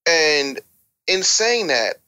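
Panelist, medium pitch, emphatic and lecturing, argues points through rhetorical questions. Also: sing-song, extremely slow, pushed and bright, clean tone. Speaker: talk show panelist. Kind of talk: opinionated music-industry discussion.

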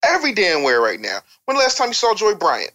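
A man's voice: high voice, wildly swooping pitch, fast, pushed and bright. An American man talking as an opinionated guest on a casual talk show, emphatic and repeating phrases for effect like a storyteller. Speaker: interview guest.

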